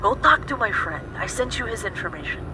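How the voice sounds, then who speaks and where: A woman's voice: medium pitch, lively intonation, a little fast, natural voice. A woman playing a caring, teasing and bossy female mechanic friend in an audio drama. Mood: firm, caring. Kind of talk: audio drama dialogue over a call. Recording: clear vehicle and street noise behind.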